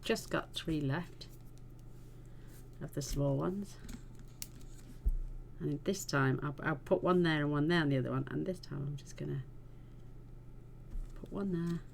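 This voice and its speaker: Low, gravelly woman crafting. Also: a little slow, pauses often, a little hiss or hum. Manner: chatty, homely, talks while working. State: croaky voice. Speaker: card-making channel host. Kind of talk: casual crafting tutorial.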